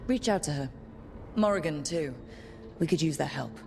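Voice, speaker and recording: A woman voicing a resolute fantasy leader in a video game, speaking in a measured, commanding way. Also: sits low, lively intonation, vocal fry, noisy background. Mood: firm. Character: resolute female leader rallying a fantasy war band. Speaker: leader of the team. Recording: music behind the voice.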